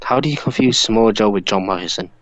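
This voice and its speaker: A man's voice: fairly low pitch, quick pace, fairly bright tone, dry recording, low-quality recording. A man co-hosting a podcast, chatting casually in a group about wrestling. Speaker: podcast co-host.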